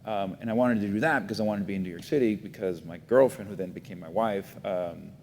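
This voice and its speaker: Man, middle-aged, from Miami, low voice, reflective, many fillers, echoey room. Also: fast talker, darkish tone. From Miami, United States. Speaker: guest, foundation CEO. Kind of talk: casual interview talk. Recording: roomy reverb, faint rumble.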